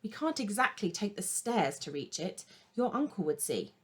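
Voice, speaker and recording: A woman voicing an uppity little male elephant shrew, brisk, impatient and officious, in a children's storybook. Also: mid-range voice, big pitch swings, breathy edge. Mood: brisk, explaining.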